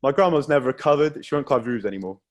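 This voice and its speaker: A man's voice: mid-range voice, expressive, fast. A young man from Wales performing a self-deprecating stand-up set over a video call, often laughing through his own jokes. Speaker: stand-up comedian.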